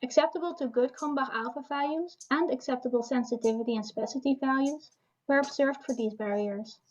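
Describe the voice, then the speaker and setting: A woman giving a formal research presentation in a steady reading voice. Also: high-pitched, fairly quick, dull audio.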